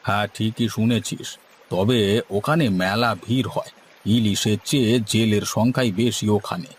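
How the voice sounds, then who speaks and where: A man's voice: on the low side, fairly even intonation, moderate pace, natural voice. A man playing a seasoned, middle-aged village boatman in a cartoon story, speaking in a folksy, know-it-all way. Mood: assured, explaining. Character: seasoned, boastful village boatman and hilsa fisherman, elder-brother figure. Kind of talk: Bengali cartoon story dialogue. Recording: faint background noise and ambience.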